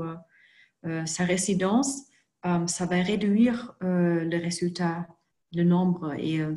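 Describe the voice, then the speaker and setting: A woman walks through a screen-share tutorial in a fairly low voice. She speaks in a very slow, careful way and fills her pauses with frequent hesitations. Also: darkish tone. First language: not French. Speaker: presenter for a genealogy organization.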